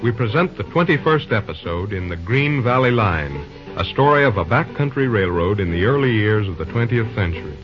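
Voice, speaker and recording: A man's voice: fairly low pitch, lively intonation, fairly quick. Male serial announcer, dramatic rhetorical delivery over faint music, muffled vintage radio sound. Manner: declamatory, rhetorical. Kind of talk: scripted dramatic old-time radio narration. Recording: dull old radio recording.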